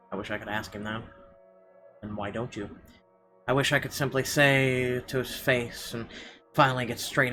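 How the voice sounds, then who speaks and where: A man reading visual novel narration aloud, expressively but haltingly, with small stumbles. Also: mid-range voice. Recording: game music behind the voice.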